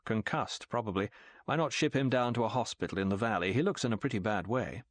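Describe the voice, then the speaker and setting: A man's voice: lowish voice, lively intonation, fast talker, no echo. A man doing a bland, offhand British agent. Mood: indifferent. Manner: diffident, offhand. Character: cool, bland British male secret agent.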